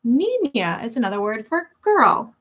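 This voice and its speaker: A woman with a high, lively voice reads a children's picture book like a schoolteacher. She takes her time, stretching key words and stopping to explain them. The delivery is expressive and clearly aimed at young listeners. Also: a little slow. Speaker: elementary school teacher reading a picture book. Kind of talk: children's read-aloud with explanatory asides.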